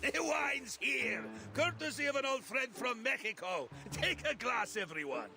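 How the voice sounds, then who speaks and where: An actor playing a wily, charming older schemer in a video game, with a folksy, theatrical manner. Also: male voice, very high-pitched, big pitch swings, a little slow, over music, full character voice. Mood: hearty, convivial. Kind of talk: video game character acting.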